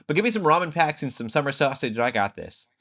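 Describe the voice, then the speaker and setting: A man hosting a podcast, telling rambling, animated stories in casual conversation. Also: fairly high pitch, fast. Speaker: podcast host.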